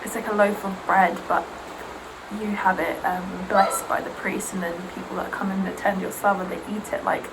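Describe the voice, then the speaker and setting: A woman's voice: medium pitch, moderate pace, noisy background. A young woman whose first language is Serbian, chatting casually and sarcastically while getting ready, often mimicking others. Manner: animated, sarcastic, mimics aunties. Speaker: vlogger.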